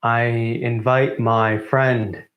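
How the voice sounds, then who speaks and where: A man in his twenties giving a formal, deliberate welcome speech as co-founder of a student theatre group. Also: medium pitch, little pitch movement, highs cut off. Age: 20s. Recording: roomy, echoing sound.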